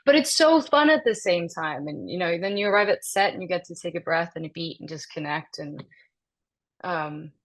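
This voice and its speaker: A woman's voice: lowish voice, normal speed. A woman in her thirties, born and raised in Denmark, chatting animatedly as an interview guest, with frequent laughs and restarts. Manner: animated, laughs often, many fillers and restarts. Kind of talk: casual interview chat.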